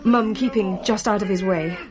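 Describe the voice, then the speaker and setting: An actress as a daughter narrating her family's haunting in a radio play. She speaks at a middle pitch, emphatic and vivid, sounding exasperated and shaken by it all. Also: moderate pace, crowd noise, close to an everyday voice. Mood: shaken, urgent.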